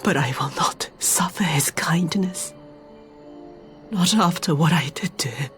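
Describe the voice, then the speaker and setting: A woman acts out a haunted, remorseful game heroine. Her voice is breathy and halting, often a whisper, over faint music. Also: fairly low pitch, close to an everyday voice. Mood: anguished remorse. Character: guilt-ridden, bitter woman haunted by her memories. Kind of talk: dramatic video game voice acting.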